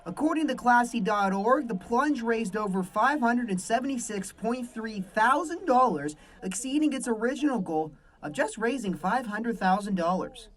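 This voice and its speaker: A man's voice: extremely high pitch, lively intonation, normal speed, hardly any pauses, slight background noise. Young American man, announcer-style reporter. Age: young adult. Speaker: student sports and news reporter, interviewer. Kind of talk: presentational broadcast reporting and interviewing.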